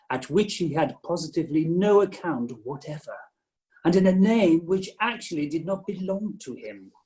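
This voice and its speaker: Pompous, pedantic gentleman doctor played by a man. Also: fairly high pitch. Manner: pompous, emphatic. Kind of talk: amateur comic radio play acting. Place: home, recorded over a video call.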